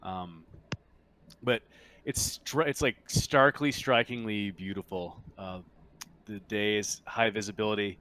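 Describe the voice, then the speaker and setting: Low-voiced man running a tabletop game. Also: pushed and bright, slight background noise. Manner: halting, searching for words. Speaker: game master. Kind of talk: improvised narration of a tabletop roleplaying game.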